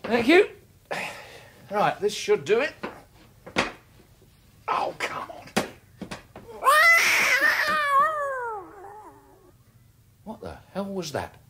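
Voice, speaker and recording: An actor playing a callous, sarcastic, bullying husband with a British accent in a radio drama. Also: male voice, high voice, wildly swooping pitch, slow, background noise, full character voice. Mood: irritated. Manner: hectoring, sarcastic, clipped repetitions. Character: callous, bullying, sardonic husband, impatient and scheming.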